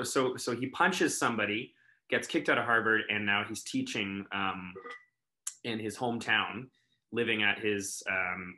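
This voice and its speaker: A man's voice: on the low side, sharp tone. A man co-hosting a casual chat, rambling with repeated words and many fillers. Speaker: co-host.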